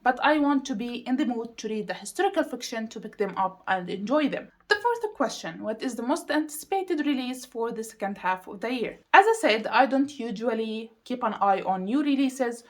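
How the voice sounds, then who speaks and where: A woman speaking casually to viewers as a vlogging reviewer, emphatic and repeating words for stress. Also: fairly high pitch. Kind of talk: casual chatty video monologue to viewers.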